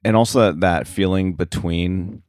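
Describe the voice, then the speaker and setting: A man speaking casually as an interview guest about his work, thinking aloud in a halting way. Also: low-pitched, a little mellow, no room sound, clean studio sound. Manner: halting, thinking aloud, frequent filler likes. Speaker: sound editor, interview guest.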